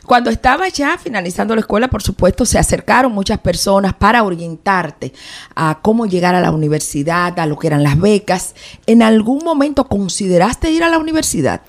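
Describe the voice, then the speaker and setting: A woman hosts a radio interview from a studio. She asks probing, playful questions in a mid-pitched voice. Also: animated, fairly quick. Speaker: podcast host.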